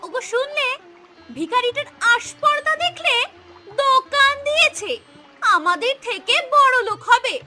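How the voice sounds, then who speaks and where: A woman's voice: very high-pitched, lively intonation, unhurried, music in the background. A woman voicing a spiteful, sneering village sister-in-law in a cartoon. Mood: scornful. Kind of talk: cartoon voice acting.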